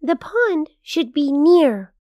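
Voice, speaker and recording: A woman voicing a cheerful, kindly little girl in a children's cartoon, speaking simply and clearly to young viewers. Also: sits high, big pitch swings, takes it slowly, mellow, no room sound, studio quality, faint music behind, full character voice. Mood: friendly, instructive.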